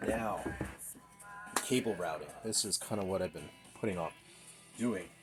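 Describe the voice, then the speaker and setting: A man's voice: sits low, a little slow, over loud music. A middle-aged man narrating casually as he works, thinking aloud in a tentative way in a home workshop. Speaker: DIY maker demonstrating a build. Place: small indoor home workshop. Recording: clearly noisy workshop recording with handling clicks.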